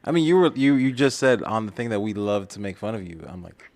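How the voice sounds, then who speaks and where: A man's voice: fairly low pitch, lively intonation, fast talker, gravelly, darkish tone. A man with a Miami accent, co-hosting a casual chat with teasing, dry humor.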